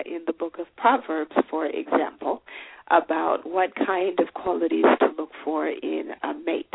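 A woman's voice: fairly low pitch, gravelly. A woman from Zimbabwe hosting a show, giving dating advice in an earnest, conversational way with many fillers. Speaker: show host. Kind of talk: solo advice talk. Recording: phone-like line with faint noise.

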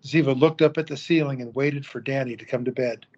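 Man, audio drama narrator, measured storytelling, mid pitch, muffled lo-fi sound. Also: steady tone, a little mellow. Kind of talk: scripted narration. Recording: dull lo-fi recording.

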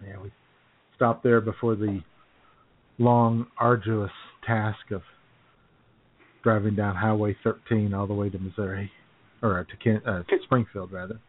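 A man's voice: on the low side, flat delivery, measured pace, long pauses. Phone-like American man, casual radio banter. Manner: jokey, chuckles often. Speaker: talk show co-host. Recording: tinny, phone-like band-limited sound.

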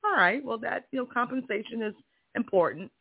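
A man's voice: extremely high pitch, lively intonation, a little fast, creaky, husky. A man with a strong voice hosting a career podcast, giving an emphatic motivational monologue full of rhetorical questions. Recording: tinny phone-like audio.

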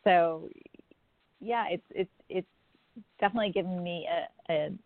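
A guest from Jamaica talks through interview answers, thoughtful and measured, with a mid-range pitch. The line is dry and tinny, like a phone call with no room sound. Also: dry recording. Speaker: filmmaker guest.

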